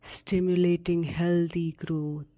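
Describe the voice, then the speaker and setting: A woman narrating a guided sleep meditation in a soothing, unhurried manner with long pauses between short instructions. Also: on the low side, little pitch movement, warm tone.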